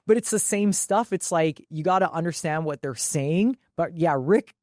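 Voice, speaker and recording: A woman's voice: on the low side, normal speed, mellow, no echo. A woman talks as a podcast co-host in casual sports chat. She is blunt and slangy with a salty edge, and the sound is dry and close.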